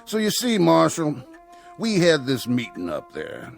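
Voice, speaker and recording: An actor playing a sly, menacing Old West outlaw in an audio drama, with a gravelly American Western drawl and a folksy, needling way of talking. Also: male voice, fairly high pitch, wildly swooping pitch, unhurried, exaggerated voice. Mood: taunting. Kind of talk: radio drama acting. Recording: music under the dialogue.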